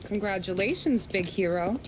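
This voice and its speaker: Sassy, lively young American woman played by an actress. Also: medium pitch, moderate pace, background noise, lightly acted. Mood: teasing. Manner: wry, brusque. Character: sassy, tough young 1930s bartender woman. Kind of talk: dramatic video game cutscene acting.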